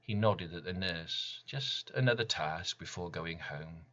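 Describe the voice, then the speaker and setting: A man giving a dramatic reading of his own poem in solemn, deliberate short phrases, with slightly muffled sound. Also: sits low, a bit bright. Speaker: poet reading own work. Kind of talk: dramatic poetry recital.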